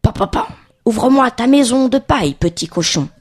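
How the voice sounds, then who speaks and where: A woman's voice: fairly high pitch, expressive, moderate pace, breathy edge, pitched above the performer's own voice. A woman voicing a big bad male wolf in a children's storybook, bullying and hungry, with emphatic, chanting threats. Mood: menacing.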